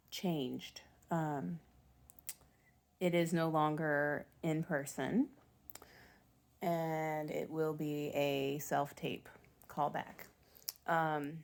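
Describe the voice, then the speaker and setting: Raspy, gravelly-voiced woman, forties, family vlogger, chatty and self-deprecating. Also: sits low, darkish tone. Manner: chatty, rambling, self-deprecating with small laughs. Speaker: vlogger mom. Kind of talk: rambling casual vlog chat.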